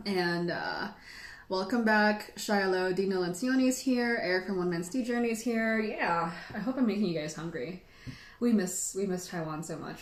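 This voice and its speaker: Echoey, animated woman chatting casually. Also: mid-range voice. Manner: animated, rambling, giggly, frequent "like". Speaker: livestream host. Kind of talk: casual storytelling chat to livestream viewers. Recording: echoey room.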